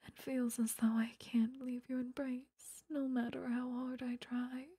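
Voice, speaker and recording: A woman's voice: high voice, little pitch movement, unhurried, warm tone, dry recording, full character voice. A woman playing an obsessive, doting vampire lover in a whispered, intimate ASMR roleplay, cooing close to the microphone. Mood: blissful, doting. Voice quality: breathy, whispery. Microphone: close microphone, dry.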